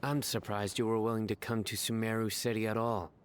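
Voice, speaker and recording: An actor playing a stoic, earnest man in a video game, with a formal and deadpan way of talking. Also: on the low side, brisk, slight background noise, lightly acted. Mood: matter-of-fact.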